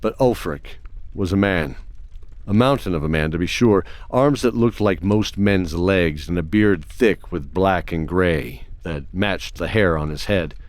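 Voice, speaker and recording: A man narrating a scripted fantasy story in a measured, descriptive storytelling manner. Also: low voice, dry recording. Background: faint music.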